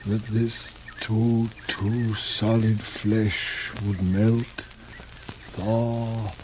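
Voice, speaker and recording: Slow, mellow theatrical wanderer. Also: male voice, lowish voice, flat delivery. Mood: dazed, weary. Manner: theatrical, declaiming in archaic phrases. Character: dazed, florid Eastern wanderer quoting Shakespeare, theatrical and grand. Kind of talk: 1950s radio drama acting. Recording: muffled, hissy vintage radio recording.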